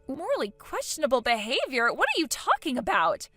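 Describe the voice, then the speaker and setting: Woman acting out a high-pitched, dramatic spoiled young woman. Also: wildly swooping pitch, a little fast, bright, forceful tone, no room sound, faint music behind, exaggerated voice. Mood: indignant disbelief. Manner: dramatic, whiny, indignant. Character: spoiled, vain, panicky 29-year-old woman. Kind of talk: acted story dialogue.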